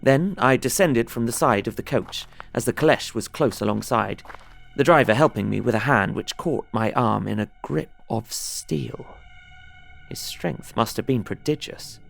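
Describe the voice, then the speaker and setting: An actor playing a young, earnest traveller, narrating his diary in a measured, uneasy way. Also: mid-range voice, vocal fry, soft background music, lightly acted. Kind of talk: dramatised first-person diary narration.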